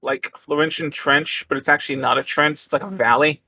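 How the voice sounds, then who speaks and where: A man's voice: fairly high pitch, steady tone, a little fast, gravelly, pushed and bright, breathy edge, no room sound. Rambling male game master, dry close mic. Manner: loose, rambling scene-setting with fillers. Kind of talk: casual tabletop game narration.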